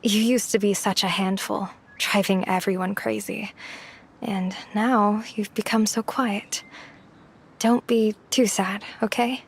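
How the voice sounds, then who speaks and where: A woman's voice: mid-range voice, fairly even intonation, takes it slowly, breathy edge. A woman playing a kindly, maternal older townswoman in an anime game, tender and consoling.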